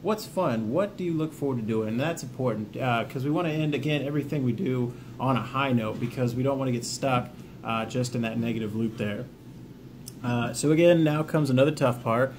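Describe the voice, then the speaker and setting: A man's voice: medium pitch, fairly quick, background noise. A man giving informal, encouraging instructions to viewers, partly from notes, in a plainspoken way. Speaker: veteran hosting a self-help video series. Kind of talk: instructional talk to camera, reading from notes.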